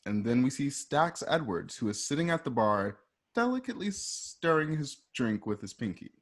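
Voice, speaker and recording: A man's voice: medium pitch, sing-song, normal speed. A man narrating a radio drama, reading out scene directions step by step in the present tense.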